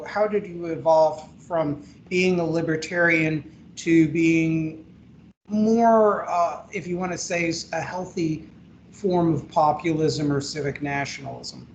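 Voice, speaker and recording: A man hosting an interview, asking long questions in a measured way. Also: on the high side, highs cut off, a little hiss or hum. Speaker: host.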